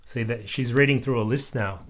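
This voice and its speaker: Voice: mid-range voice, fairly quick. A parent reading a children's story aloud expressively, with patient explanatory asides to a small child.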